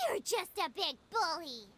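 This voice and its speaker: Squeaky, very high-pitched little fairy sidekick. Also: female voice, noisy background, full character voice. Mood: indignant. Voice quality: squeaky, childlike. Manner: perky, speaks of self in third person. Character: tiny chirpy floating fairy companion, cheeky and outspoken. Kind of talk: video game character acting. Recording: loud game music underneath.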